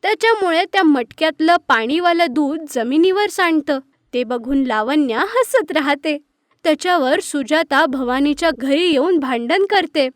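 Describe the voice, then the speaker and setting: High-pitched woman narrating children's stories. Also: expressive, moderate pace, no room sound, studio quality. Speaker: story narrator. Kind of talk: scripted children's story narration.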